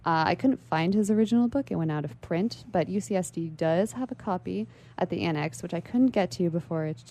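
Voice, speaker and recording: A woman's voice: lowish voice, brisk, dark tone. A woman speaking as an interview guest in a literary radio discussion, articulate and bookish.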